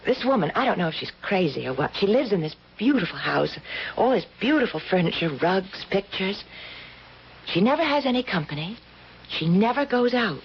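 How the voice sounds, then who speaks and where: An actress playing a kind, earnest, trusting young working woman in a 1970s radio drama, gentle and eager in manner. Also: mid-range voice, big pitch swings, moderate pace. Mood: intrigued. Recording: muffled old radio broadcast with hiss.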